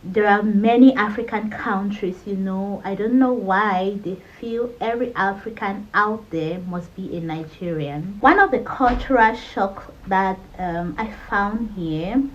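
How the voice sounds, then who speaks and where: A woman's voice: mid-range voice, unhurried, few pauses, lo-fi sound. A woman from Cameroon in her thirties, talking candidly in a personal vlog with a conversational manner. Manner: candid, conversational, frequent "you know". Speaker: vlogger.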